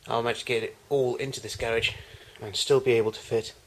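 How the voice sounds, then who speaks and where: A man's voice: mid-range voice, little pitch movement, fast talker, poor audio. A man giving an unscripted tour of his collection in a home video, recorded in a garage on amateur camcorder audio.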